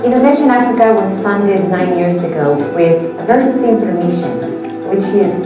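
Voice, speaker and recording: A woman giving scripted documentary narration in a measured way, stressing key words. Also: mid-range voice, lively intonation, lo-fi sound. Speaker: video narrator. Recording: video played over room speakers, far and echoey. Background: music from the video.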